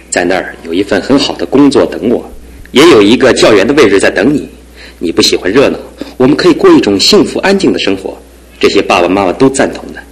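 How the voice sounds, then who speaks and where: A good-natured young man in a radio play, joking easily and turning earnest when it matters. His voice sits somewhat low for a man. He comes across as a little pampered but sincere. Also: low-quality recording. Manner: jokey and warm-hearted, earnest when serious. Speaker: radio drama actor. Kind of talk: 1960s-70s studio radio drama acting.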